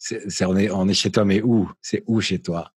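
A man's voice: low voice, fairly even intonation, normal speed, darkish tone, husky, no room sound. A man hosting a casual interview in an informal, hesitant way, often repeating his phrases as he goes. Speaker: interviewer, host. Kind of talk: casual conversational interview in French.

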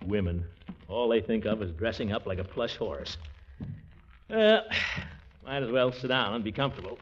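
An actor playing a pompous, vain middle-aged bachelor with a blustery, muttering manner in a 1940s radio comedy. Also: male voice, fairly low pitch, animated, normal speed. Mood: grumbling. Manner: blustery, muttering asides, self-satisfied chuckles. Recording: dull, noisy vintage radio recording with clicks.

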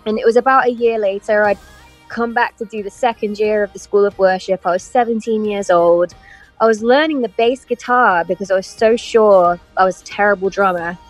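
A woman's voice: mid-range voice, a little fast, soft background music, low-quality recording. A woman in her thirties with a mixed English and American accent, chatting animatedly as an interview guest over a video call. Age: 30s. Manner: chatty, animated storytelling with frequent laughs. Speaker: guest musician. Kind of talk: casual interview chat.

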